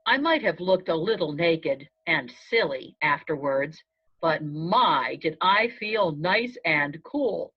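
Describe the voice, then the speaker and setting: A woman narrating a children's storybook aloud in the first person, in a measured storytelling manner. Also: low-pitched, lively intonation, husky. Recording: dull, lo-fi sound.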